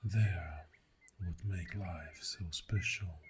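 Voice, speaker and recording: Clingy, loving boyfriend, male performer, ASMR roleplay: low, soft, whispery, extremely unhurried, clear nature sounds behind. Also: fairly even intonation, background noise. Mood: contented, cuddly. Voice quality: soft, deep, breathy, often whispering. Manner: slow, intimate, murmuring, lingering pauses. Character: clingy, tender boyfriend waking at a campsite. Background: birdsong and outdoor ambience.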